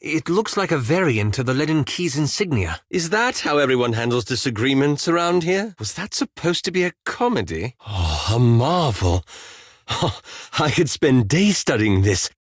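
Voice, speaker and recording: An actor playing a wry, anxious, fastidious elf wizard in a video game, with a dry, polite and hesitant manner. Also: male voice, mid-range voice, very animated, no room sound. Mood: curious.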